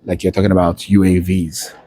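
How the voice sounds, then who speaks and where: A man's voice: low-pitched, completely flat delivery, fairly quick, dark tone. A man chatting casually as an unscripted interviewer, a fellow student who talks in anecdotes and acts out little quoted asides. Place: indoors at a university building.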